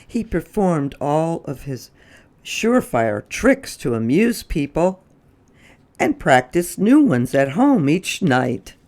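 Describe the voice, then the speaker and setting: A storyteller reading a children's book aloud in an expressive, deliberate way. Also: medium pitch. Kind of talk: children's read-aloud narration.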